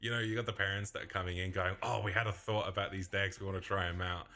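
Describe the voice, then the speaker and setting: A man with a mixed English and Australian accent, co-hosting a casual card-game chat, chatty and quick to laugh. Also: sits low, steady tone, creaky, pushed and bright. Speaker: podcast co-host.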